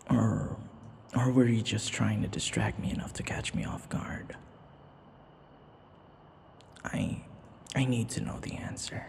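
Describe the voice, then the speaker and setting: A man playing an obsessive, lovesick young vampire in an intimate ASMR roleplay, breathy and whispery, halting and full of sighs. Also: lowish voice, expressive, a little mellow, full character voice. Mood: wounded, bitter. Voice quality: breathy, often whispery, creaky.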